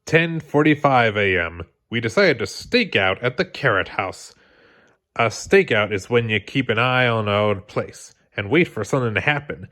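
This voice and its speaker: A man reading a children's storybook aloud as scripted, expressive narration. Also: medium pitch, moderate pace. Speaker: storybook reader.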